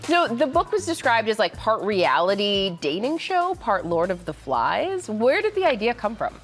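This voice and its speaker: A woman's voice: mid-range voice, sing-song, normal speed, soft background music. A woman co-hosting a morning talk show, engaged and asking questions.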